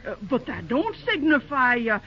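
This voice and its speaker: An actress playing an excitable, motherly older small-town woman with a rural American accent and folksy dialect, in old-time radio drama style. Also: high voice, normal speed. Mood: indignant. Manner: exclamatory, indignant, motherly. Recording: dull, noisy vintage radio recording.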